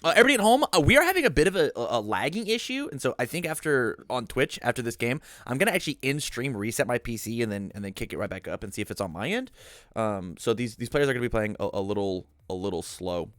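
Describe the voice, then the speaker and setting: A man hosting casual game commentary in an informal, animated way, often repeating phrases for emphasis. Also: medium pitch, quick pace, no room sound, clean studio sound. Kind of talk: casual podcast-like commentary chat.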